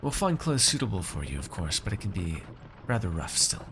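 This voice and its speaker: Haughty noble master , acted by a man, fantasy ASMR roleplay: lowish voice, measured and wordy condescension, some background noise. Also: very animated. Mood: musing, calm. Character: haughty, shrewd nobleman master coaxing a frightened purchased mage servant.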